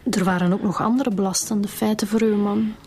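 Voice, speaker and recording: An actress playing a brisk, inquisitive Flemish detective in a radio drama. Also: female voice, medium pitch, steady tone, darkish tone, close to an everyday voice. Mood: probing.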